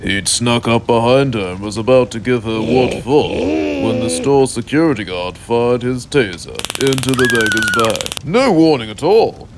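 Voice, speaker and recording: A man's voice: mid-range voice, huge pitch swings, a little slow, very noisy. A British man narrating a mock bedtime story in an avuncular, mock-cosy way.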